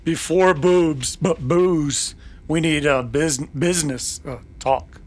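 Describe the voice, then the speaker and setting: A man's voice: fairly high pitch, slow pace, a little mellow, voice pushed up. A man playing a drunk, flustered gambler in a western radio drama, stammering and slurring as he fumbles his words. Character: drunk, flustered male gambler in the Old West. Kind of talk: old-time western radio drama dialogue.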